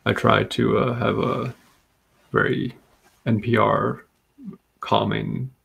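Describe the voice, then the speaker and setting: A man from Rhode Island hosting a live comic book stream, chatting calmly and steadily while reading comments. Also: on the low side, gravelly, husky. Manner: calm, steady, unhurried. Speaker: livestream host.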